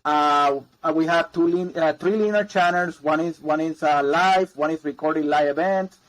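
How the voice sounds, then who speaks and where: A man's voice: fairly high pitch, moderate pace, a bit bright, low-quality recording. Man, rambling guest. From Puerto Rico. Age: 40s. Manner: rambling, repeats words, many ums and uhs. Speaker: guest, mortgage broker. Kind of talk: casual interview chat.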